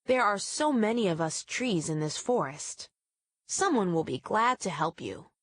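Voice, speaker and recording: A woman voicing a massive, proud female oak tree in a children's storybook, speaking in a firm, measured way and guarding her own strength. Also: medium pitch, animated, no room sound. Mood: firm refusal.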